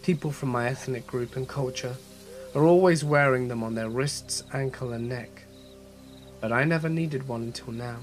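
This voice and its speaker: A man's voice: mid-range voice, moderate pace. Male horror-story host, mid-range voice touched by a mild cold, narrating over a music bed with crickets. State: slight head cold. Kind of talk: scripted first-person horror story narration and channel sign-off.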